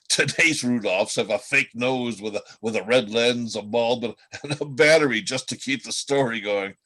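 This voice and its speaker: A man's voice: medium pitch, measured pace, sharp tone. A man reading the part of a wry, world-weary old reindeer telling stories in a radio play. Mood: wry, reminiscing.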